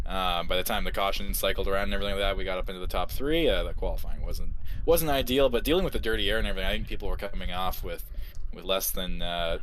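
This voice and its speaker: A man, a racing driver, answering post-race questions in a technical, halting way. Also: on the low side, a bit bright, slight background noise, rough recording.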